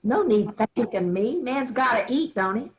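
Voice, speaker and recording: A woman's voice: on the high side, animated, normal speed. A woman reading the part of a stern rural grandmother, in a staged play reading. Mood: coaxing. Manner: folksy, firm, drawling country talk. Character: stern rural grandmother, family matriarch, firm.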